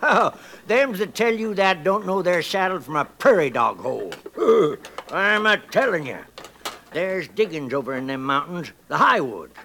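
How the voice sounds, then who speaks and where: An actor playing a toothless, stubbornly hopeful old prospector in a 1950s radio western, gravelly and rambling in a folksy American frontier drawl. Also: male voice, sits high, big pitch swings, a little slow, bright, forceful tone, exaggerated voice. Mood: boastful, insistent. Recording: noisy 1950s radio recording.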